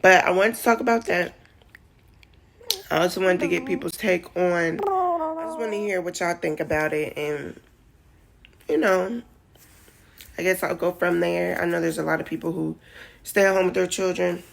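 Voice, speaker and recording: A woman around thirty chatting in a casual vlog, rambling and emotional, tearful at times, in a relaxed style. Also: fairly low pitch, moderate pace, vocal fry, pauses often. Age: 30s. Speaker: vlogger.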